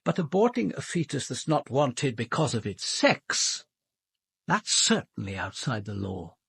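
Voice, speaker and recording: An actor with a British accent playing a senior police detective in a radio drama, measured, formal and probing. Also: male voice, mid-range voice, big pitch swings, creaky, fairly bright tone, husky, dry recording, exaggerated voice. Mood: probing, firm. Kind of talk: British radio drama acting and first-person narration.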